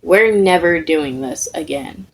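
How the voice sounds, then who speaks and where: An exasperated male teacher, read by a woman in an audio story. He is dry and deadpan, muttering at a slow pace in a lowish register. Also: creaky, exaggerated voice, low-quality recording. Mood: stern.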